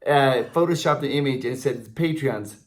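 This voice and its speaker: A man's voice: medium pitch, fast. A man in his forties with an American accent, co-hosting in casual, enthusiastic, jokey banter to camera. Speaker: video channel co-host, convention MC. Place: small indoor room before a banner.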